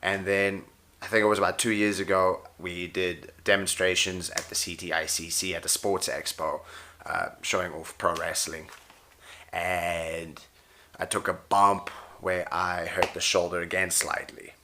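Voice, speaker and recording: A man's voice: low-pitched, little pitch movement, a little slow, bright, forceful tone, a little hiss or hum. A man, a pro wrestler and jiu-jitsu coach, talking in a rambling, wry, unscripted way about his injuries; his voice tires easily. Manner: rambling, self-correcting, wry. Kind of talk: unscripted casual talk to camera.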